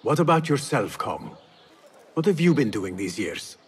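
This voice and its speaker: Earnest young assassin, expressive voice. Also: male voice, mid-range voice, unhurried, breathy edge, heavily acted. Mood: friendly, guarded. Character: young male former street thief turned earnest assassin. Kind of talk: video game character acting.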